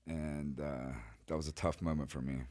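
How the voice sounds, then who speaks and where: A middle-aged man from Chile, a guest artist telling his life story in a relaxed, rambling way with many fillers. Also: extremely low pitch, moderate pace. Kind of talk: casual interview storytelling.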